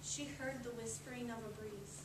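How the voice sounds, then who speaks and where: Female readers theatre narrator, deliberate storytelling delivery; distant mic with noticeable noise. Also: fairly high pitch, fairly even intonation. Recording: echoey room, distant mic, clear noise.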